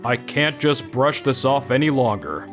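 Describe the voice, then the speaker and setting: A man's voice: medium pitch, big pitch swings, moderate pace, over music, close to an everyday voice. A man playing a stern, reproving company superior in a manga dub. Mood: stern, disappointed. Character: stern male company superior scolding an employee.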